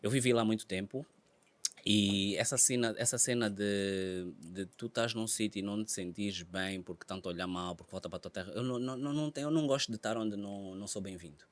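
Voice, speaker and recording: Animated Angolan man, chatty and repetitive. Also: fast talker. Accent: Angolan Portuguese. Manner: animated, repeats words, bursts high and loud when telling stories. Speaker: comedian guest. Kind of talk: casual podcast interview.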